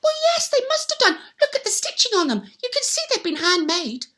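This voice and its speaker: Storybook old wife voiced by a woman, high and thoughtful, kindly, pressing her points eagerly. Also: sing-song, a little fast, pushed and bright, full character voice. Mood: earnest.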